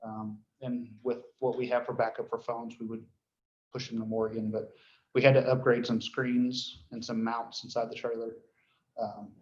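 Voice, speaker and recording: Male, mid-pitched, informal meeting reporter with halting delivery, dull echoey room sound. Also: little pitch movement, darkish tone. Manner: halting, frequent throat clearing. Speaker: dispatch director reporting to board.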